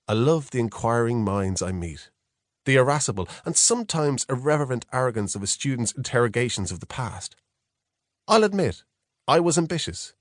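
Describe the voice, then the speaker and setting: A man reads a first-person novel in a measured, reflective storytelling manner. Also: mid-range voice, dry recording, clean studio sound. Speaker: audiobook narrator. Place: close, dry studio.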